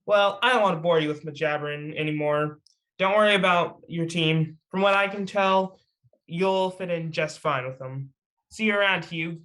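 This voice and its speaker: A man's voice: fairly high pitch, moderate pace, a bit bright, close to an everyday voice. A man playing a chatty, teasing young superhero in an amateur table read, with casual delivery. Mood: friendly, reflective.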